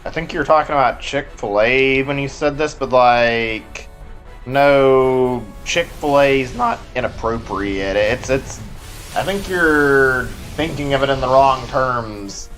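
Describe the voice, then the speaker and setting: Texan male streamer, mid-pitched, rambles through his chat over faint game music, casual and unscripted. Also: slow pace, a bit bright. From Texas. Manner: rambling, filler-heavy, answers chat as he plays. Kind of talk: casual live-stream chat while playing.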